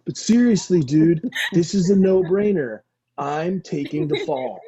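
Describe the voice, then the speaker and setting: An actor playing a dim, goofy and melodramatic young slacker in a comedy table read. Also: male voice, high-pitched, a little slow, creaky, dark tone, husky, full character voice. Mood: earnest, dramatic. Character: dim, dramatic slacker young man, soon a dad. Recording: slightly dull online-call audio.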